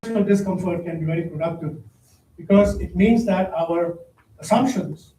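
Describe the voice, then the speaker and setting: A man giving a keynote lecture in a big, loud voice, speaking directly to the audience with joking asides. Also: high voice, moderate pace. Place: large conference hall. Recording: echoey hall, distant mic, faint noise.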